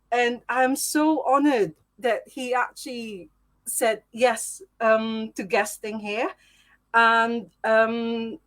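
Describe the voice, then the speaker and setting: A woman hosting a live podcast, talking nervously with many hesitations and small laughs. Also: a little high. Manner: nervous, lots of ums, giggly. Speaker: podcast host.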